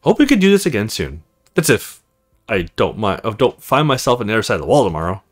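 A man's voice: on the low side, wildly swooping pitch, fast, faint music behind. A man voicing a frank, chatty young female agent in a visual novel, with a teasing, conversational manner. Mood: friendly.

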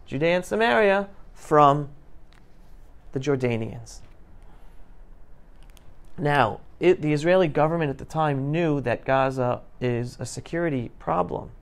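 A man in his forties giving an informal, emphatic lecture to students, explaining and checking in with questions. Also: fairly high pitch. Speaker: guest speaker. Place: school classroom, indoors. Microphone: clip-on lapel microphone.

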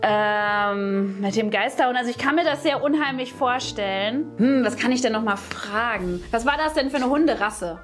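A woman's voice: on the high side, moderate pace, few pauses, faint music behind. A woman, chatting casually as the host and contestant of a game show. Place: indoor studio room.

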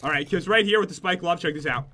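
A man hosting a gaming video with casual, playful commentary in an excitable, teasing manner. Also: high voice, fast, heavy background noise.

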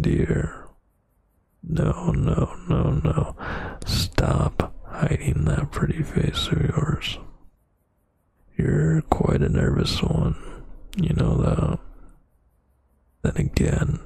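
A man murmurs very slowly in an intimate ASMR roleplay as a dominant, teasing roommate. His voice is breathy and half-whispered, thick with gravelly fry. The sound is close and dry. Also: fairly high pitch, a little mellow, no echo. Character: huge, dominant, flirtatious male roommate. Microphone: close microphone.

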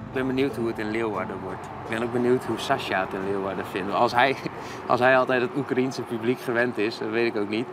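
A musician chatting casually and agreeably about upcoming shows. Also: male voice, medium pitch, fairly even intonation, fast talker, few pauses, music in the background. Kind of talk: casual chat.

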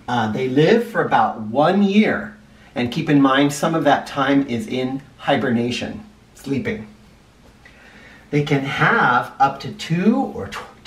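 A middle-aged man teaching young children and reading a picture book aloud, explaining simply and stressing key words. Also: mid-range voice. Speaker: first grade teacher. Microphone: camera a short distance away. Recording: roomy, distant camera microphone.

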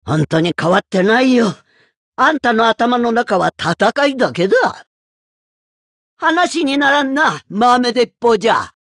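Casting: stern, scolding elderly woman fighter for a game; husky and gravelly, delivery swings widely in pitch. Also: very animated, slow, no echo, clean studio sound, full character voice. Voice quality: gravelly, raspy. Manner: blunt, old-fashioned elderly phrasing. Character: gruff old female martial arts master, stern and scolding. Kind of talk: video game character voice lines.

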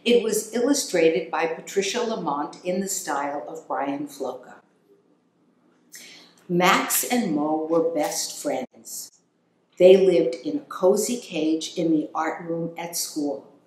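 An older woman, around her seventies, a children's author reading aloud with deliberate, expressive storybook phrasing. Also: low voice, slight background noise. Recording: echoey room, music underneath.

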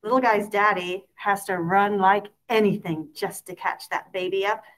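A woman reads a children's story aloud expressively, in a sing-song way with drawn-out key words. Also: medium pitch, fairly even intonation, fairly bright tone, poor audio. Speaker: picture book reader.

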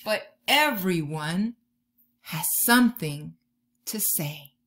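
A woman in her thirties doing an expressive children's read-aloud, drawing out key words like a teacher talking to young listeners. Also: on the low side, slow pace. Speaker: teacher reading a picture book to children. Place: bedroom or room at home, indoors.

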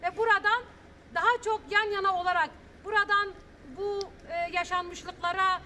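A woman in her thirties giving an earnest press statement outdoors in front of rubble, with halting restarts. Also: very high voice, steady tone, pushed and bright, a little hiss or hum.

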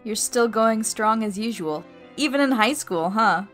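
Woman as highish-voiced, knowing schoolgirl friend. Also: expressive, unhurried, a bit bright. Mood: teasing. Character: teasing, gossipy teenage schoolgirl friend. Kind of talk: manga fandub acting. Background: music.

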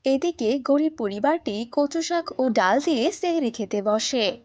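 A woman's voice: high voice, animated, measured pace, darkish tone, no echo. A woman giving scripted narration for a children's moral cartoon.

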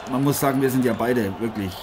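Over a noisy, roaring arena, a man acts out a former boxing champion at the commentary desk. He is medium-pitched, chummy and admiring, and repeats his phrases as he addresses his friends at home in this radio play. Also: fast, close to an everyday voice. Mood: chummy, reflective. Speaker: sports commentator. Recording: noisy arena crowd behind the voice.

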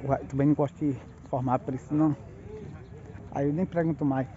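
A man's voice: medium pitch, fairly even intonation, a little fast. A man from Northeast Brazil with a Pernambuco accent, filming as he walks through outdoor cattle pens, chatting to viewers and calling out prices. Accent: Pernambuco Portuguese. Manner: chatty, calls out prices to viewers and greets sellers. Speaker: livestock market vlogger. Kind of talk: casual walk-through narration. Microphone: phone camera held while walking. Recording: dull phone audio with crowd behind. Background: crowd chatter and cattle.

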